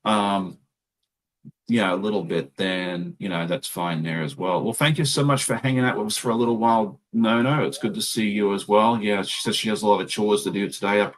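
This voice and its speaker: A man's voice: lowish voice, steady tone, brisk, low-quality recording. A man with an Australian accent hosting a casual chat while he sews. He tells rambling stories with plenty of ums. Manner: chatty, rambling storyteller with many ums. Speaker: host.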